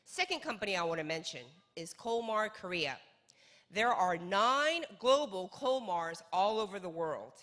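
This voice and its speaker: A woman's voice: on the high side, sharp tone. A woman raised in North America presenting a network marketing business, emphatic and full of rhetorical questions. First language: English. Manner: emphatic, repeats key points, rhetorical questions. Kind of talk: sales presentation. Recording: long hall reverb.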